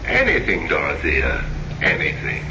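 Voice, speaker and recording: An actor playing an insistent, wooing lover returned as a ghostly visitor, pleading and theatrical in old-time radio drama style. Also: male voice, huge pitch swings, measured pace, exaggerated voice. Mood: seductive. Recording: dull lo-fi radio recording, loud vehicle rumble.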